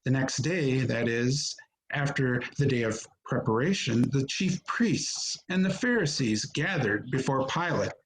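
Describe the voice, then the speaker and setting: A man narrating a scripture reading in a measured, solemn manner. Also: medium pitch, animated, a little hiss or hum, low-quality recording. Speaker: narrator in a church Passion reading.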